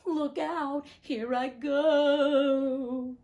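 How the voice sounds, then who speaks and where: A woman putting on a shrill wicked old witch. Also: fairly even intonation, extremely slow, lo-fi sound, heavily acted. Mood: wailing. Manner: shrill, high and loud. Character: wicked old female witch, cruel and gloating.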